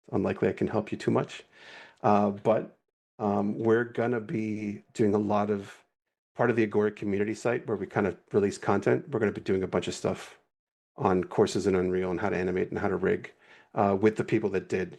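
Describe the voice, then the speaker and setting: A man with a low, gravelly voice and a husky edge, talking in a loose, easygoing way on a live stream. He rambles a little and keeps correcting himself as he goes. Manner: relaxed, rambling, self-correcting. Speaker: guest animator. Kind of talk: casual livestream walkthrough.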